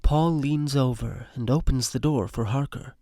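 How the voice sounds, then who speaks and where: A man narrating a scripted audio drama in short, measured sentences. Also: medium pitch, creaky, noisy background. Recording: music behind narration.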